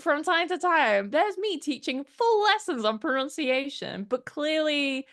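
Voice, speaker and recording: A woman in her thirties from England, a pronunciation teacher chatting as an interview guest with animated storytelling and lots of fillers. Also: high-pitched, measured pace. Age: 30s. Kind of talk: casual interview chat.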